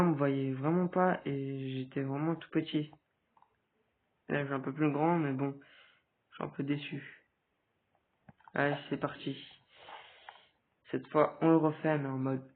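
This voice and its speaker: A man with a cold giving hesitant, casual commentary over a game, with sudden excited outbursts. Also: a little high. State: stuffy with a cold. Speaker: gaming video creator. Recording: tinny phone-like recording.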